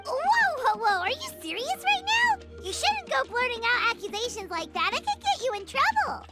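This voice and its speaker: A woman voicing a small, excitable, childlike video game companion who is earnest and exclamatory. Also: very high voice, moderate pace, soft background music, full character voice. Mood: shocked, indignant. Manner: exclamatory, stammering when flustered. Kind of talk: animated video game character acting.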